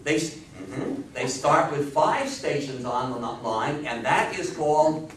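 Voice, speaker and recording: A man's voice: medium pitch, animated, slow, a bit bright. An American man in his fifties or sixties giving an informal history talk, emphatic and fond of rhetorical questions. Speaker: lecturer on radio history. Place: small indoor meeting room with seated audience. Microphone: distant camera microphone. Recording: echoey room, far microphone, faint hiss.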